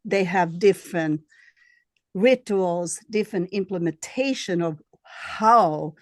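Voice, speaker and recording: A woman with a Swedish accent, a healer being interviewed, who speaks in a halting way and searches for her words. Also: lowish voice, dry recording, studio quality. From Sweden. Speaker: guest, shamanic healer and astrologer. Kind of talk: casual interview.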